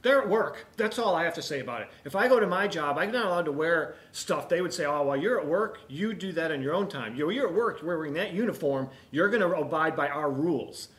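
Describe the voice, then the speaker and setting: A man with a fairly high voice co-hosts a call-in talk show. He chats casually and gives strong opinions, hammering his points by repeating them. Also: lively intonation, fairly quick, few pauses, a bit bright. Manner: emphatic, repeats points for emphasis. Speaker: talk show co-host taking calls.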